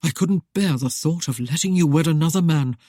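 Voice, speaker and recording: A woman's voice: low voice, fairly quick, mellow, no room sound, studio quality. A woman voicing a self-assured nobleman who speaks in a formal, leisurely drawl. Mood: composed.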